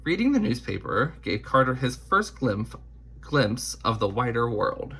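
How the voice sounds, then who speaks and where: A man reading a children's picture book aloud in deliberate, emphatic phrasing. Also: mid-range voice, very animated, a bit bright, breathy edge. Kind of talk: scripted storybook read-aloud.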